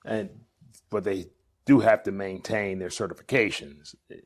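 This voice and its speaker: Low-voiced man interviewing casually. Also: fairly even intonation, normal speed. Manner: attentive, frequent short backchannels. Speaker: interviewer, podcast host.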